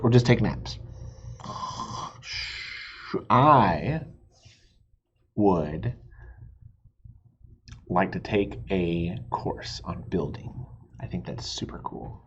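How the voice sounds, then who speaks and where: A man reads a children's storybook aloud expressively, pausing for simple asides and questions to young listeners. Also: mid-range voice, a little mellow, muffled recording, a little hiss or hum. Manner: asks the listeners questions, explains words simply.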